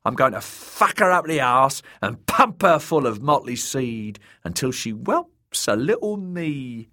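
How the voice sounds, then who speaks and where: A man voicing a crowing, bawdy, cruel mad jester with a shrill voice and a gleeful, taunting manner. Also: sing-song, measured pace, sharp tone. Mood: lewd boasting. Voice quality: shrill, braying.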